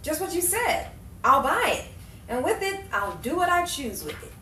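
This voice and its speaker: A woman acting a bossy, quick-witted older friend in a 1950s Hollywood-style audio drama, brisk and insistent as she encourages. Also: sits high, very animated, sharp tone, exaggerated voice. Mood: firm, insistent. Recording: roomy, distant mic, faint background noise.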